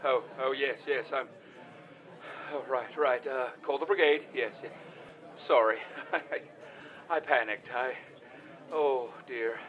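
A man's voice: medium pitch, takes it slowly, long pauses, natural voice. A man acting as a frantic, flustered caller in an audio comedy drama, stammering and repeating himself over a muffled phone line. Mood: flustered, sheepish. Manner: stammering, repetitive, apologetic. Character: frantic, flustered, easily panicked male caller under siege.

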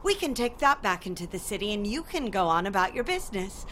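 An actress playing a protective, suspicious wife, pleading and scolding, in a radio drama. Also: female voice, medium pitch, sing-song, brisk, fairly bright tone, slight background noise. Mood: polite, brisk. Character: worried, strong-willed wife, protective and suspicious.

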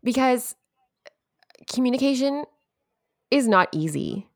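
A woman's voice: high-pitched, expressive, takes it slowly, no echo, studio quality. A woman co-hosting a podcast, chatting casually in a reflective, hedging way with frequent laughter. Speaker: podcast co-host. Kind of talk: casual chat.

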